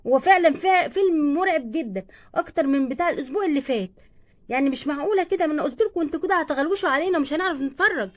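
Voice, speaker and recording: A woman's voice: high voice, expressive, racing. A woman voicing a bossy schoolgirl older sister in doll play, chattering and scolding with an Egyptian accent.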